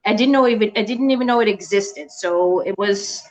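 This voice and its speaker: A woman's voice: mid-range voice, normal speed, darkish tone, poor audio. A middle-aged woman from the Netherlands, a guest in an online interview, talking casually with many fillers and restarts. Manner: hesitant, many restarts and fillers, laughs often.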